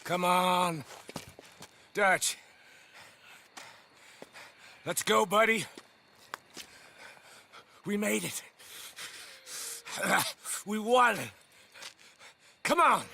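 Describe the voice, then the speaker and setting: An actor playing a vicious, gloating American outlaw traitor in a video game, his voice strained and gravelly, drawing out his taunts. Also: high voice, slight background noise, exaggerated voice. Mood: wheedling. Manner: drawn-out, taunting delivery. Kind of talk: dramatic video game cutscene acting. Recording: loud dramatic music underneath.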